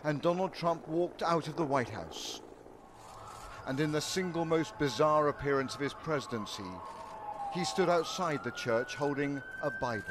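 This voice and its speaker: A man voicing a news report as correspondent, measured and grave in delivery. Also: on the high side. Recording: loud crowd and street noise behind.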